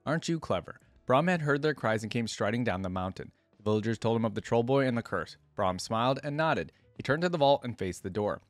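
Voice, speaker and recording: A man's voice: medium pitch, expressive, brisk, few pauses, no room sound, soft background music. A man hosting a reaction video, moving between reading lore aloud and informal commentary as he thinks aloud.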